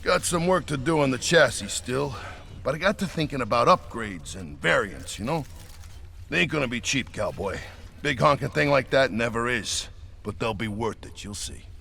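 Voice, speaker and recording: An actor playing a rough, folksy male engineer proud of his machine. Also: medium pitch, expressive, normal speed, pushed and bright, a little hiss or hum.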